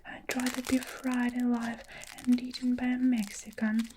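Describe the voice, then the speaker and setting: A woman's voice: fairly high pitch, little pitch movement, a little slow, background noise, pitched above the performer's own voice, lightly acted. A woman voicing an old green grasshopper in a whispered storybook reading. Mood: urgent.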